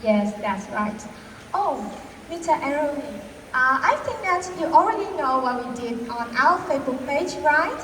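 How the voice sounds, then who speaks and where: A woman's voice: sits high, animated, a little slow, a bit bright. A woman with a Vietnamese accent hosting a talk show in a school role-play, speaking in a careful, rehearsed presenter style. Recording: echoey hall, distant mic, background noise.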